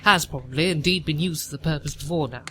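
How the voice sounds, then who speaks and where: A man's voice: a little high, quick pace, bright, forceful tone, a little hiss or hum. A man playing a haughty, imperious older lady in an audio play, with a pompous, precise manner. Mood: haughty disapproval. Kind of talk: audio play acting of period comedy.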